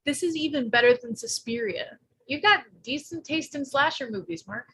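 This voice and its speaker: Blunt teen girl, played by a woman, sharp forceful tone, slightly high, quick with wisecracks, table-read drama. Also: expressive, full character voice. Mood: dry, chatty. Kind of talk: live script table read.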